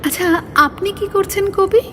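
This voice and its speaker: A woman playing a poet's gentle, teasing beloved in a recited verse dialogue, with soft, coy phrasing. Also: very high-pitched, normal speed, slight background noise. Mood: dreamy, coy. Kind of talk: dramatic Bengali poetry recitation as phone dialogue.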